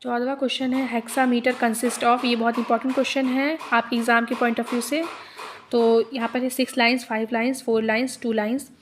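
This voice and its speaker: A woman talks through exam questions like a teacher, reading each one out slowly before she explains it. Her voice sits a little high and barely moves in pitch. A faint hiss of noise runs under her speech. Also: little pitch movement. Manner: reads English questions slowly, then explains in Hindi. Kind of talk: exam-prep lesson reading out multiple-choice questions.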